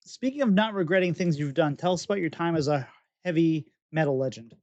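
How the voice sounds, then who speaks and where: A man's voice: on the high side, a little fast, darkish tone, no room sound, lo-fi sound. A man hosting a podcast who asks teasing, playful questions in casual chat. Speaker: podcast host.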